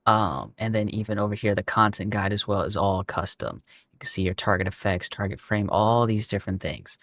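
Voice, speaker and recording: Low gravelly-sounding sick guy, raspy, chill game setup walkthrough, dry close audio. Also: a little fast, no echo. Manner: relaxed, frequent "uh" and "um", addresses viewers as y'all. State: hoarse from illness. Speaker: gaming video creator. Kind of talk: casual screen-recorded game walkthrough.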